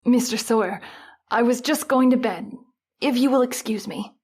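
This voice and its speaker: Female actor, higher register: earnest, nervous woman of a troubled household, old-time radio mystery. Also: moderate pace, a little mellow, husky. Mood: nervous, deferential.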